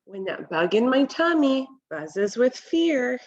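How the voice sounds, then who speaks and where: Slow, higher-pitched woman reading to children. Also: sing-song, lo-fi sound. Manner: deliberate, instructional, asks the listener questions. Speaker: read-aloud presenter for children. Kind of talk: children's picture book read-aloud with commentary. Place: home kitchen, indoors.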